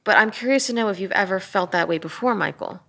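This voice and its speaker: A woman playing an earnest, empathetic police detective at a drama table read, measured and sometimes hesitant. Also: mid-range voice, creaky, sharp tone. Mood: calm, probing.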